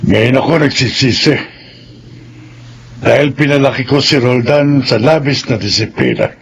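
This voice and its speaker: An actor playing a regretful, grave old grandfather with a gravelly voice in a Filipino radio drama. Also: medium pitch, animated, moderate pace. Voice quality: gravelly, creaky. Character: regretful old grandfather, a retired-generation doctor.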